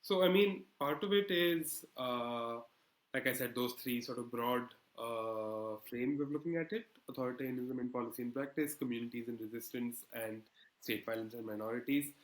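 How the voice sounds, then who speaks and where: A man working as an editor answers interview questions conversationally, in a measured, hesitant and thoughtful way. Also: mid-range voice. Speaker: magazine politics editor being interviewed. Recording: echoey room sound.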